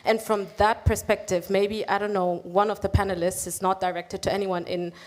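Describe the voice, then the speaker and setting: A woman's voice: mid-range voice, flat delivery, normal speed, a little mellow. A woman in the audience asking the panel an earnest, direct question.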